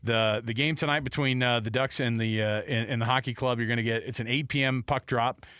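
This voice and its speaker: A man's voice: mid-range voice, steady tone, a little fast, a bit bright, clean tone, dry recording, clean studio sound. A man hosting casual sports talk radio, chatty and repeating himself for emphasis.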